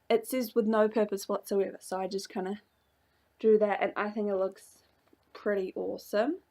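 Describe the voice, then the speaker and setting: A young woman vlogger chatting casually about her journal pages, rambling with many fillers and little laughs, her voice slightly hoarse from a coming cold. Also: mid-range voice, a little mellow. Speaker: craft vlogger. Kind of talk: casual chat showing a journal.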